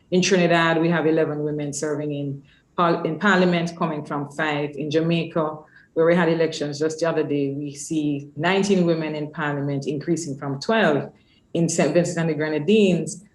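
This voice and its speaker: Webinar host, woman, low register, measured semi-formal speech with hesitant pauses, echoey room sound. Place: indoors on an online webinar call. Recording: roomy, echoey webinar audio.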